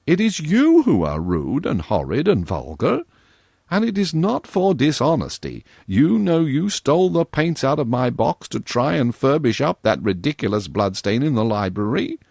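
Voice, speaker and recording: A man's voice: mid-range voice, wildly swooping pitch, normal speed, creaky, darkish tone, no room sound, clean studio sound, voice pushed up, exaggerated voice. A man voicing an earnest, primly stern young girl in a storybook reading, with a scolding manner.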